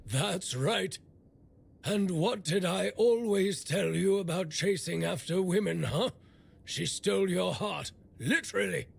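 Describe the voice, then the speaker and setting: Gravelly, unhurried old village smith. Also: male voice, high voice, full character voice. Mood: gruff scolding. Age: older. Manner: blunt, foul-mouthed, sardonic. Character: gruff, irreverent old village smith, scolding and grumbling. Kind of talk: video game character dialogue.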